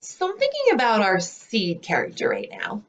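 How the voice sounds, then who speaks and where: Female school librarian, children's read-aloud, explains clearly with highly varied intonation. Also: huge pitch swings, normal speed, dull audio. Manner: clear, explanatory teacher talk.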